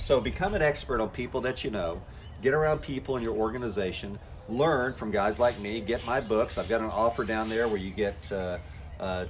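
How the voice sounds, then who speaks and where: A man's voice: lowish voice, a little hiss or hum. A man coaching network marketers in a folksy, talk-to-camera style, acting out the conversations he has with people. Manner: folksy, acts out quoted conversations.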